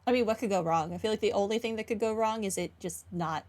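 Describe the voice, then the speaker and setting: A woman's voice: medium pitch, fast talker, background noise. A woman gaming streamer giving casual playthrough commentary in a wry, questioning way. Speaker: gaming streamer playing through a story.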